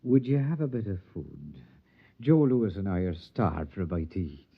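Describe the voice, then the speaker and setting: Whimsical Irish wanderer, very animated, lyrical and theatrical, vintage radio play, muffled recording. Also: male voice, sits low, normal speed, creaky, breathy edge, full character voice. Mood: gentle, jovial. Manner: lilting, poetic, theatrical storytelling. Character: whimsical, lyrical, charming male wanderer, a sprightly radiation-touched outcast. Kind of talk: 1950s radio drama acting.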